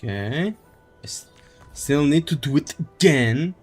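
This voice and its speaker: A man's voice: on the high side, expressive, measured pace, slightly rough. A man streaming a game, chatting casually and rambling as he thinks aloud. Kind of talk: casual live-stream chat while playing. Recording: game music behind the voice.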